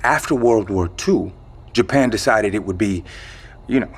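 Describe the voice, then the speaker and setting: Male storyteller, creaky, husky, wry, measured, hesitant pauses, audio drama dialogue. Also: fairly low pitch. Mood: musing. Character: measured man recounting a secret legend, wry.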